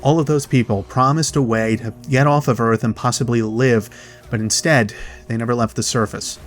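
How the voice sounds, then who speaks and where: A man hosting a game lore video, delivering narrated commentary directly to viewers with dramatic pauses. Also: mid-range voice, fairly even intonation. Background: background music.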